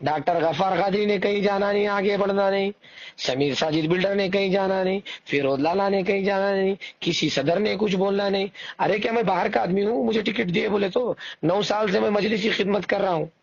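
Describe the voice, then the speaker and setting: A man's voice: high-pitched, brisk, creaky. A man with a Marathwada Dakhni accent speaking informally on a recorded phone call as an election candidate, indignant and insistent, arguing and repeating his points. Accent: Marathwada Dakhni Hindi-Urdu. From Parbhani, Maharashtra, India. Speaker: election candidate and party worker.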